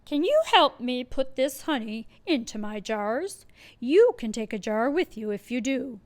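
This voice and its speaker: A woman's voice: fairly high pitch, big pitch swings, measured pace. A woman doing a friendly honey bear.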